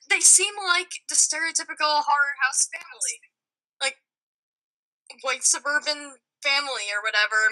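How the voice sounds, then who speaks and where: A young woman's voice, pitched high and strikingly bright and forward. She tells a personal story in casual podcast style, pausing and picking her way through it informally. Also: rough recording. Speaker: podcast guest.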